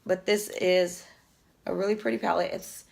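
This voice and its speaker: A woman beauty vlogger chatting casually through an unboxing, hoarse from a lingering cough, rambling fondly about each item. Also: fairly low pitch, steady tone, normal speed, a little mellow. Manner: chatty, fond, rambling product talk with fillers. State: hoarse, losing her voice from a cough.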